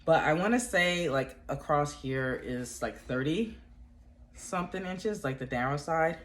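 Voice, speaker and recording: A woman's voice: low-pitched, animated, measured pace. A woman with a stuffy, congested voice chatting casually from home to her viewers about crochet, rambling with plenty of fillers and restarts. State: congested from a cold. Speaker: yarn crafting vlogger. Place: at home indoors.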